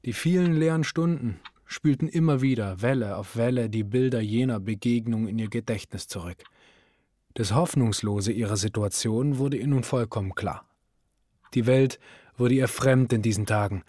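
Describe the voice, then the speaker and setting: An actor playing a grave, measured literary narrator in a radio play, with a husky voice. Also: male voice, mid-range voice, warm tone, no echo, studio quality, lightly acted. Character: grave literary narrator, also the concerned husband. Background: music.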